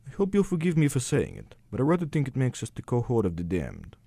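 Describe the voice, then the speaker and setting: A man's voice: medium pitch, wildly swooping pitch, fast, gravelly, warm tone, husky, no echo, exaggerated voice. A man playing an older exiled Romanian intellectual in a radio drama, formal, polite and hesitant, with elaborate phrasing. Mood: rueful, polite.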